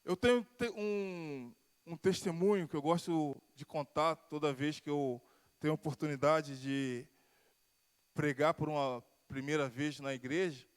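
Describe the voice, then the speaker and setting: A man with a Carioca accent preaches a sermon in a church, his voice sitting high for a man. He rises and sinks in volume, stretching out the words he wants to land, and a long hall reverb trails behind him. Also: fairly even intonation, measured pace, clear, resonant tone. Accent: Carioca Brazilian Portuguese. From Rio de Janeiro, Brazil. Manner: swells and drops in pitch and volume, drawing out key words. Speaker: pastor. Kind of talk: sermon with personal testimony. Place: church sanctuary.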